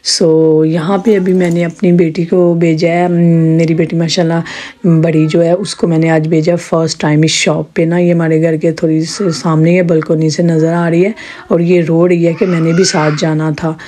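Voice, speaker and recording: Woman vlogging from home, hoarse throat, mellow voice, chatty and rambly. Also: low voice, flat delivery, normal speed. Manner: chatty, rambling with many restarts. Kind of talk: casual home vlog narration.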